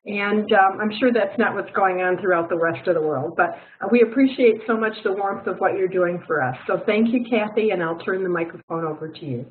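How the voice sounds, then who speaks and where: A woman host opening an online webinar from home, chatty and warm, with many fillers. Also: medium pitch, a little fast, hardly any pauses. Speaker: session host. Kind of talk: webinar introduction. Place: home by a fireplace. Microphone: video call microphone. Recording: tinny phone-like audio with faint noise.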